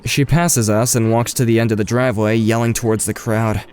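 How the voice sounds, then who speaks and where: A man's voice: fairly low pitch, flat delivery, fairly quick, a little mellow, lightly acted. Earnest, awkward teenage boy narrator, played by a man. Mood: wry narrating. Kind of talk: first-person narration and dialogue in an audio drama.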